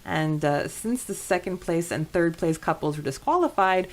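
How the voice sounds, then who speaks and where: A woman with a voice a little lower than most speaks as a podcast co-host. She talks casually and informally, as in a relaxed book discussion between two people. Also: moderate pace. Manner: chatty, informal.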